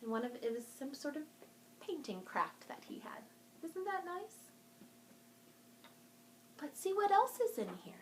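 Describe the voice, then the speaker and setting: A woman presents a children's storytime in a fairly high voice. She takes her time, speaking slowly in an encouraging, sing-song way as if to young kids. There is a light layer of background noise on the homemade recording. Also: sing-song. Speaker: children's librarian hosting a virtual storytime. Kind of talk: talking to young children in a craft and show-and-tell video.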